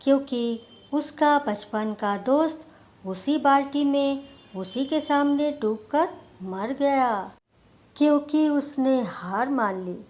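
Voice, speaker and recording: A woman tells a scripted moral story as its narrator. Her voice sits a little high, and she takes the lines slowly, as a storyteller does. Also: darkish tone.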